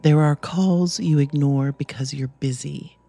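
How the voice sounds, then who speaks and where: A podcast host narrates a scripted story in a mellow, relaxed voice. The delivery is slow, measured and reflective, with music underneath. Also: medium pitch, creaky.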